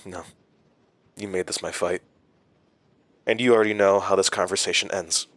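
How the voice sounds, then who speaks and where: An actor playing a haunted, defiant hero in an audio drama, speaking in a halting, strained way. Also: male voice, sits low, fairly even intonation, a bit bright, no room sound. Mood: grim resolve. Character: haunted, defiant male hero facing his enemy.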